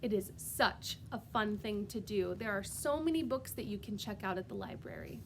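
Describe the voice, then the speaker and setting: A woman reading a storybook aloud as a preschool teacher, expressive and sing-song, pausing to put questions directly to young children. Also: mid-range voice, slight background noise.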